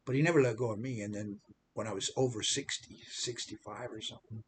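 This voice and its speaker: A man's voice: on the low side, fairly quick, slight background noise. Rambling seventy-year-old American man. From United States. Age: 70s. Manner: rambling, repeats words and restarts, self-deprecating. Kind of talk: personal testimony monologue.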